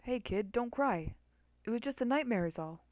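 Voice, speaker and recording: A woman's voice: a little high, animated, normal speed, darkish tone, no echo, voice pushed up, lightly acted. A woman voicing a laid-back, joking male skeleton with a protective big-brother streak, in a casual, slangy dramatic reading. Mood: soothing. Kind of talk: dramatic fan-fiction reading.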